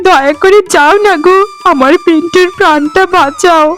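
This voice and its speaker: A woman's voice: very high-pitched, moderate pace, fairly bright tone. A woman voicing an emotional village woman in a family cartoon, pleading in a melodramatic way. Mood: remorseful, desperate. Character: emotional, remorseful village women of one family. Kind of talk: Bengali cartoon voice acting. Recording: music behind the voice.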